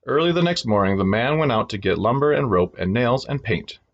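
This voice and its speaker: A man reading a children's storybook aloud in an expressive storytelling style for young listeners. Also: mid-range voice, a little fast, lo-fi sound.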